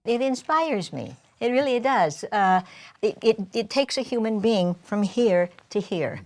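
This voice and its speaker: A woman's voice: a little high, expressive, a little slow, darkish tone. A woman storyteller speaking informally and reflectively in an earnest way. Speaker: professional storyteller.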